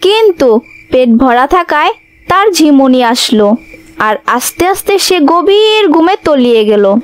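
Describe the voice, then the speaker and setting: High-voiced woman narrating a children's story. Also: very animated, a little slow.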